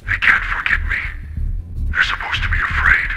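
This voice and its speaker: A man voicing a cruel, mocking dream-stalking killer in an animated short, speaking with a menacing drawl, over music. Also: normal speed, close to an everyday voice. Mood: panicked.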